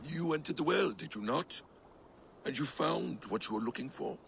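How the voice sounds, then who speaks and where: A scheming trickster god asks probing, mock-innocent questions. His voice is gravelly and swoops around in pitch. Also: animated, moderate pace, noisy background. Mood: sly.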